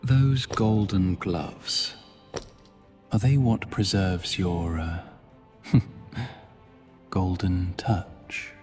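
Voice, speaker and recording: An actor playing a sly, smooth-talking demon dealmaker who drops into soft, whispery asides in an ASMR audio drama. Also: male voice, deep voice, steady tone, very slow, long pauses, big-room sound, soft background music.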